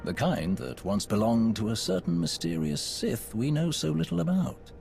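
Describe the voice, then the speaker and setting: A male actor playing a suave, flirtatious Sith lord in a video game, with a courtly, flattering manner. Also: mid-range voice, normal speed, soft background music. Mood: charming. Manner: courtly, flattering, smooth-talking.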